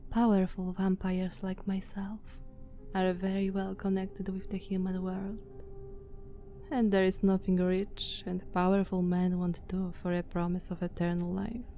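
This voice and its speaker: A woman's voice: medium pitch, level tone, unhurried, mellow, soft background music, natural voice. A woman with a Slavic accent playing a haughty, dominant, ancient female vampire in an ASMR roleplay, condescending and commanding, sometimes slipping toward a whisper. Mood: boastful, composed.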